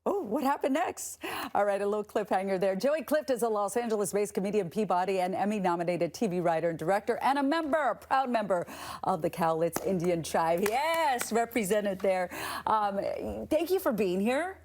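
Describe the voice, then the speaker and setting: A woman's voice: mid-range voice, expressive, normal speed, background noise. A woman with an American accent hosting a morning TV interview in an upbeat, encouraging way. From Texas, United States. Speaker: TV host interviewer.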